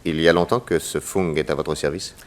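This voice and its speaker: An actor playing a shrewd, courteous detective who questions people with theatrical confidence, in a 1960s radio serial. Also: male voice, very deep voice, fairly even intonation, fairly quick. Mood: probing, polite. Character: shrewd, probing, confident American male detective. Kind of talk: 1960s French radio drama acting.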